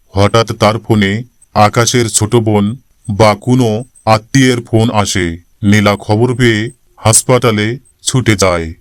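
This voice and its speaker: Male story narrator, lowish and clear, formal read, very dry sound. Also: no room sound.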